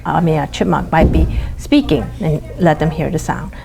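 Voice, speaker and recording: A woman speaking as a teacher in an interview, explaining her classroom practice haltingly as she feels for words. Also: sits low, gravelly, over music.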